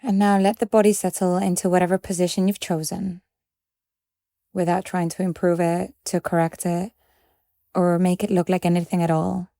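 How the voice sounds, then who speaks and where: A woman leading a guided meditation in a calm, gentle and measured way, leaving pauses between phrases. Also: on the low side, dry recording, studio quality.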